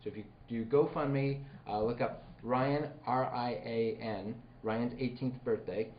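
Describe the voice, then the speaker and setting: Male podcast host, medium pitch, conversational announcer, slightly muffled and echoey with light background noise. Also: fairly even intonation, a little slow. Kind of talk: casual podcast hosting. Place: indoor studio. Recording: roomy, dull, faint background noise.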